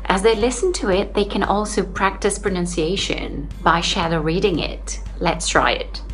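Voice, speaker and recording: A woman's voice: medium pitch, moderate pace, faint music behind. A young adult Brazilian woman and English teacher answering interview questions earnestly, with many "uh" fillers. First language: Portuguese. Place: home in Sydney.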